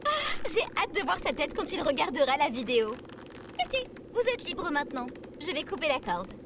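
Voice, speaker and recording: A very high, lively female robot voice for an audio comedy. She is cheerful and naive, with bubbly, theatrical delivery that swings widely in pitch. Clear noise sits behind her. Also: normal speed. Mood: mischievous, determined. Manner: bubbly, theatrical, formal address. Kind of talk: audio fiction comedy acting.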